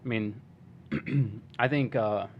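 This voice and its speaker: A male podcast host who is losing his voice, asking gentle questions with fillers. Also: lowish voice, extremely slow, highs cut off, a little hiss or hum. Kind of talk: casual interview.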